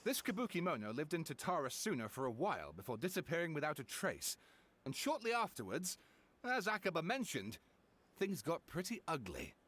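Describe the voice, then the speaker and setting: A man's voice: a little high, big pitch swings, brisk, a bit bright, a little hiss or hum. An actor playing an earnest, polite young male scholar in a video game. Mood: earnest, explaining. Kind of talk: video game dialogue acting.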